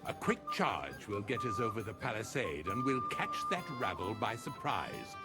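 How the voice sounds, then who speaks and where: An actor playing a boastful, wine-loving lord chamberlain in a game cutscene, hearty, pompous and overconfident. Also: male voice, on the low side, huge pitch swings, moderate pace, over loud music. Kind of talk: video game cutscene acting.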